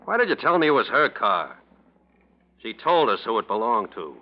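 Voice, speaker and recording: An actor playing a brusque, sardonic, suspicious police officer in a 1940s radio drama, talking in tough slang. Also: male voice, mid-range voice, big pitch swings, normal speed, natural voice. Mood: matter-of-fact. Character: gruff, streetwise, suspicious male police officer. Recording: muffled, noisy old radio recording.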